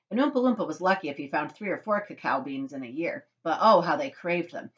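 A woman voicing an eccentric, excitable man who owns a chocolate factory, telling things theatrically and emphatically in a children's storybook. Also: on the low side, lo-fi sound.

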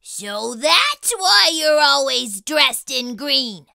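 A tiny, eager little creature with a very high, very bright voice. It talks slowly and carefully, swinging its pitch up and down as it asks question after question. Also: female voice, sing-song, creaky, no room sound, studio quality, full character voice. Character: small curious childlike purple spot creature. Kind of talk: children's picture book read-aloud.

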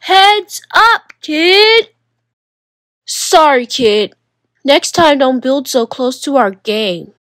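A woman voicing excited beachgoers in a children's storybook, loud and exclamatory as they call out to a kid. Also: high-pitched, drawn-out words, dry recording, clean studio sound, pitched above the performer's own voice, heavily acted. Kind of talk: children's storybook read-aloud characters.

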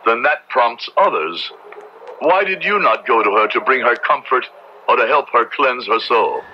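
An actor playing a solemn, conscientious Puritan minister with measured, formal diction in an old-time radio drama. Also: male voice, mid-range voice, expressive, close to an everyday voice. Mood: earnest. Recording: dull, noisy old radio drama recording.

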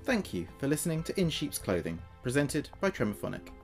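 A man's voice: mid-range voice, very animated, brisk, soft background music. A man presenting a podcast, reading a scripted announcement.

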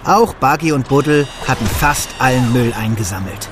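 Actor as a friendly builder narrating over music. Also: male voice, mid-range voice, lively intonation, normal speed, natural voice. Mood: storytelling. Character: friendly builder narrating his day for children. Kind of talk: children's audio drama narration. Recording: music behind the voice.

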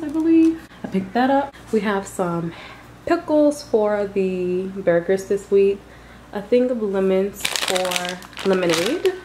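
A woman's voice: medium pitch, a little mellow, slight background noise, low-quality recording. A woman vlogger talking casually and informally to her viewers, in a chatty way. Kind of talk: casual grocery haul vlog voice-over.

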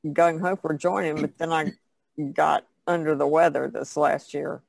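A woman's voice: low-pitched, fairly even intonation, a little slow, gravelly, dry recording. A woman chatting casually as a painter guest on a podcast. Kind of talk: casual chat.

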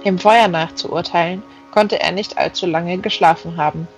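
Audiobook narrator, mid-pitched voice, reading literary prose with music underneath. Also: steady tone, normal speed, highs cut off. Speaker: audiobook reader.

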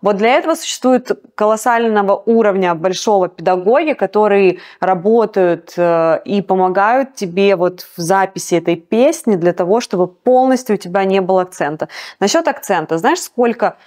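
A woman's voice: moderate pace, a little mellow. Emphatic woman singer, motivational talk. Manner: emphatic, motivational, pitch jumps up on key words. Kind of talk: interview guest.

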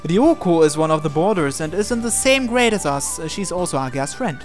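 A let's play host reads visual novel narration aloud and acts out the dialogue with expression. The voice sits at a middle pitch, with light game music playing behind it. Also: expressive, fairly quick.

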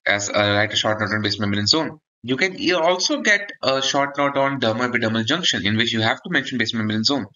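A man's voice: mid-range voice, pushed and bright, lo-fi sound. A man gives an explanatory medical lecture for exam students, often checking in with a short "okay". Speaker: dermatology lecturer.

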